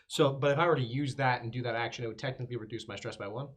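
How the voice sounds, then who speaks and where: A man's voice: mid-range voice, racing. A man playing a tabletop game, casually asking about rules and describing his moves.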